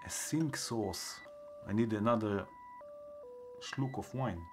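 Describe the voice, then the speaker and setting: A man with an Israeli accent, hosting a live stream and thinking aloud as he builds synth patches, with filler words and pauses. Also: fairly low pitch, background noise. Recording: music playing under the voice.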